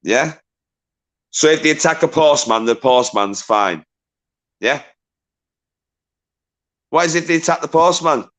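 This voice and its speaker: A man hosting a casual live stream, swearing freely, laughing a lot and bantering with callers in a loud voice. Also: expressive, unhurried, pauses often. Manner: says he has a deep, loud voice. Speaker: livestream host.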